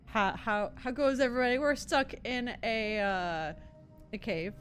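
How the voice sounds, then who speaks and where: A woman's voice: on the high side, expressive, measured pace, fairly bright tone, faint music behind. A woman game streamer giving casual, playful, slangy and giggly commentary on her playthrough.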